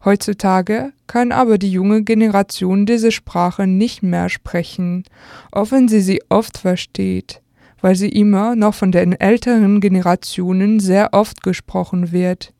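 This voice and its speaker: Mellow young French-accented woman, dry recording. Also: mid-range voice, fairly even intonation, unhurried, vocal fry, dry recording. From Alsace, France. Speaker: radio contributor. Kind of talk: scripted essay reading.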